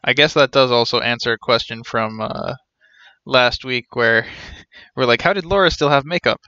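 A man's voice: mid-range voice, moderate pace, pushed and bright, dry recording, muffled recording. A young man giving casual, rambling live commentary as he streams a game.

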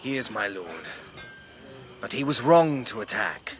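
Earnest, deferential knight. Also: male voice, fairly low pitch, very animated, a little slow, soft background music. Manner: formal, deferential. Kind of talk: historical radio drama acting.

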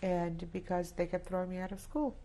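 A Polish-born woman in her sixties, a native Polish speaker, giving reflective oral history testimony in a halting way, with many restarts. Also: on the low side, fairly even intonation, dark tone, a little hiss or hum. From Poland. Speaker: interviewee. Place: indoor studio set with plants.

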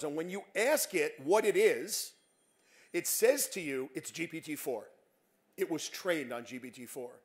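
A middle-aged man giving a conference keynote on a large stage, speaking emphatically and making confident predictions. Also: fairly high pitch.

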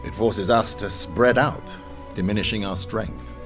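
A man playing an orderly medieval French captain in an audio drama, briefing in a formal, measured way. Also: on the low side, music in the background, lightly acted. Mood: commanding, methodical.

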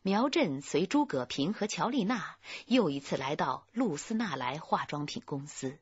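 Female radio drama narrator: low, raspy, close and dry. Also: big pitch swings, moderate pace, no echo. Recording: muffled band-limited dry studio audio.

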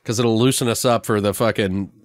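A man's voice: lowish voice, steady tone, fairly quick, no room sound. A man hosting a podcast in casual, rambling, slangy chat with some swearing, close on the microphone. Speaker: podcast host.

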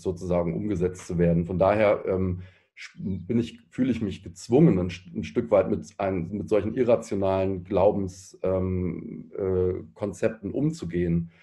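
Low, mellow man thinking aloud. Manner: thoughtful, halting, many fillers. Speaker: panel guest. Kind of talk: discussion answer.

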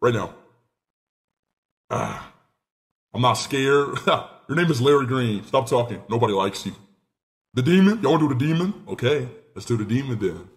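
A nineteen-year-old man streaming live from a small room on a headset mic, excitable and rambling, swearing freely. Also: mid-range voice, moderate pace, long pauses. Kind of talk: casual live stream chat.

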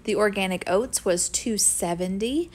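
A woman's voice: medium pitch, a little mellow, slight background noise. A woman, casually chatting through her grocery haul and weekly meal plan at home. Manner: chatty, friendly, quick asides. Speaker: homestead vlogger. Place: home kitchen. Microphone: camera a few feet away.

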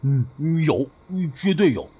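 A man voicing a timid, superstitious, excitable village friend in an audio story, with hesitant, stammering speech full of interjections. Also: mid-range voice, slow, slightly rough, exaggerated voice. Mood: earnest, hesitant.

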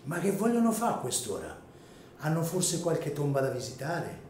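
A man playing a gruff, grudging older cemetery caretaker in a radio drama, with rustic, colloquial speech. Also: on the high side, huge pitch swings, moderate pace, a little mellow, slight background noise. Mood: grudging, yielding. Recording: echoey room, music behind.

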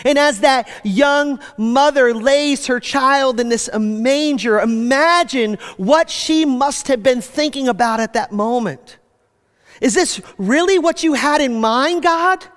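A man preaching from a church stage, unhurried and deliberate, with long reverb trailing his words through the hall. He talks with animation, and his voice sinks low at the close of each phrase. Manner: animated, gesturing, voice drops low at phrase ends. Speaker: pastor. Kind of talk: sermon. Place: church stage with screens, indoors.

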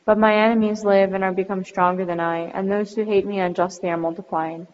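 A woman reading psalms and liturgical responses in a measured, chant-like way. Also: mid-range voice, little pitch movement, a little mellow. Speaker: reader of psalms. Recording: muffled recording with long reverb.

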